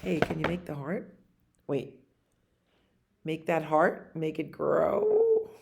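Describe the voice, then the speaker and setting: Older woman reading stories with gravelly voice. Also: low voice, wildly swooping pitch, background noise. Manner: dramatic pauses and emphasis, with asides to listeners. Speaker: library storytime reader. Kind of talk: expressive children's storybook read-aloud. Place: small library community room, indoors.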